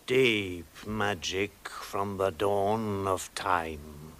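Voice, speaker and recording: A man playing a great lion king in a fantasy drama, solemn, measured and commanding. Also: low-pitched, fairly bright tone, slight background noise, heavily acted. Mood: grave. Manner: measured, grave, authoritative.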